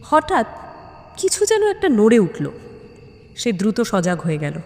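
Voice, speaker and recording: A woman narrates a detective story in a suspenseful, deliberate way with dramatic pauses. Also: on the high side, huge pitch swings, a little mellow. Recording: long cavernous reverb on the voice.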